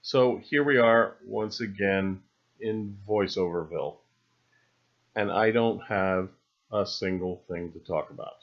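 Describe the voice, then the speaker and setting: A man with a fairly low voice talks through an unscripted voice-over. He muses haltingly, trailing through his phrases with understated, dry humor. The recording is a little dull and lo-fi. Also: creaky. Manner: halting, musing delivery with long trailing phrases. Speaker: video narrator. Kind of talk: unscripted, rambling voice-over monologue. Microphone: separately recorded voice-over.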